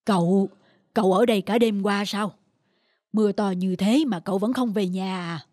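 An actor voicing a stern, reserved old male professor in a cartoon, measured and admonishing. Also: sits high, a little mellow, dry recording. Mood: concerned, grave. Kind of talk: animated cartoon dubbing.

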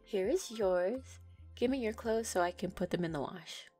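A woman's voice: mid-range voice, animated, normal speed, dark tone, husky, faint music behind. A young woman voicing a playful, affectionate neko girlfriend in an audio roleplay, giggly and teasing as she talks.

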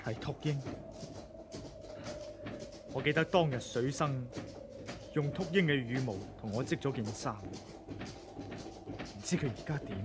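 A man's voice: medium pitch, takes it slowly, long pauses, close to an everyday voice. A young man with a Hong Kong Cantonese accent playing an earnest, kind young hero in a radio drama. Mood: gentle. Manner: earnest, plain-spoken. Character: plain-spoken young male hero, weary and kind. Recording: old radio drama, loud crowd and noise.

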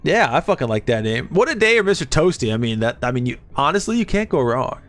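A man's voice: a little high, big pitch swings, fast, a bit bright, faint music behind. Profane laid-back man from Arkansas. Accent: light Southern US. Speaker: Twitch streamer. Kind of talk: casual live-stream chat with chat. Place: home gaming room.

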